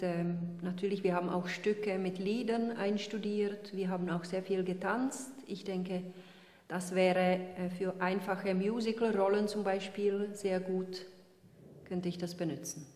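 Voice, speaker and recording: Nachdenkliche Schauspielerin in hallendem Raum. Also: female voice, medium pitch, measured pace. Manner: calm and reflective, with hesitant fillers. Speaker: actress. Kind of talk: self-presentation interview. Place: studio in front of a grey wall. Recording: reverberant room with long echo.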